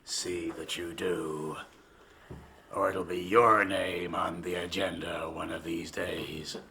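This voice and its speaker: A menacing male gang boss in a radio play. His voice is very forceful and hard-edged, with a gravelly creak. He gives orders slowly and deliberately, full of threat. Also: low voice, level tone, full character voice. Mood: threatening. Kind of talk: radio drama acting.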